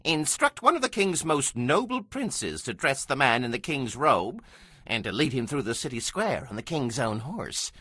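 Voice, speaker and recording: A vain, scheming court villain. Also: male voice, medium pitch, very animated, moderate pace, bright, forceful tone, breathy edge, dry recording, exaggerated voice. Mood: smug, boastful. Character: vain, boastful, scheming royal official, villain. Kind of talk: dramatized audio Bible acting.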